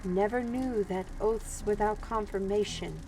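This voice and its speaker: A woman playing an earnest, principled female steward in a fantasy audio drama, solemn and measured. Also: mid-range voice, a little mellow, a little hiss or hum, natural voice, rough recording. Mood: firm reproach.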